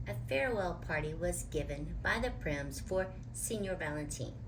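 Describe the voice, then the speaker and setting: A woman's voice: on the low side, noisy background. A woman reading a children's picture book aloud as a storyteller, expressively and with dramatic emphasis.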